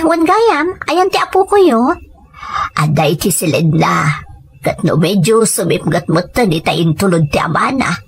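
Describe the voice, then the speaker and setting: A woman playing a caring, hard-working mother in an audio drama, with tender, drawn-out phrasing. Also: medium pitch, breathy edge.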